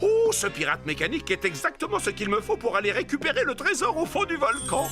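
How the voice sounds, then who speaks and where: An actor playing a greedy, scheming pirate captain in a children's cartoon, pompous and theatrical, with a creaky voice. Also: male voice, extremely high pitch, huge pitch swings, fast, music in the background, heavily acted. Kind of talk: French-dubbed children's cartoon.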